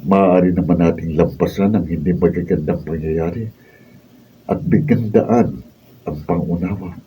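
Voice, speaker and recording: A man acting a steadfast young Filipino husband in a radio drama, very deep and dark in tone. He speaks solemnly and earnestly, picked up from a distance over faint noise. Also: normal speed, lightly acted. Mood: firm, earnest. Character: earnest, steadfast young husband and father defending his love. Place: recording studio, behind-the-scenes camera recording. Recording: roomy distant mic, faint background noise.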